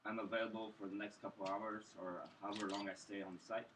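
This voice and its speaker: A man giving an informal live pitch as co-presenter of a tech project. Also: little pitch movement, fast, fairly bright tone. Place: live event room. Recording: distant mic, clearly noisy room.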